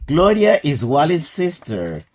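A man, teaching an English lesson in a slow, patient scripted style. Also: a little high, huge pitch swings, a little mellow, breathy edge. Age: 60s.

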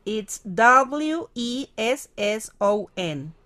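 Woman voicing a helpful woman in her late twenties, fairly high voice. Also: animated, drawn-out words, sharp tone, full character voice. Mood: friendly. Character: helpful young working woman, twenty-seven.

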